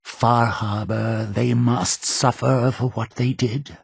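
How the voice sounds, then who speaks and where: An actor playing a zealous, imperious male cult leader in a video game, speaking in solemn, deliberate, preacher-like oratory with a gravelly, husky voice. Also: mid-range voice, little pitch movement, no echo, clean studio sound, heavily acted. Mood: fervent, vengeful. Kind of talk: video game character dialogue.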